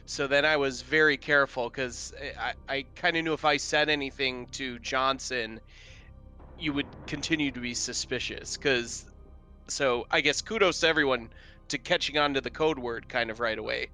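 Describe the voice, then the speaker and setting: A man's voice: mid-range voice, normal speed, sharp tone, soft background music. A man who plays tabletop games, talking casually about the session. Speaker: tabletop game player. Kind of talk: casual chat.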